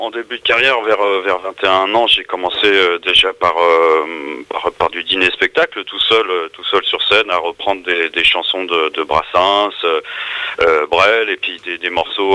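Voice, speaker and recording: A man's voice: on the low side, sharp tone, clean tone, no echo, low-quality recording. A middle-aged man with a French accent chatting casually as a radio interview guest, searching for words and repeating phrases. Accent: French from France. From France. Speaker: singer-songwriter guest.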